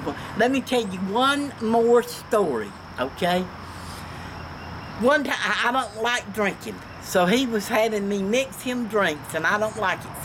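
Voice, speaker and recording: Older Georgia woman, noisy porch recording. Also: medium pitch. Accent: Southern American, Georgia. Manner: blunt, folksy, salty-tongued. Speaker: storytelling grandmother. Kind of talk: casual personal storytelling. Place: outdoors on a front porch. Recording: noisy outdoor home video, passing vehicles.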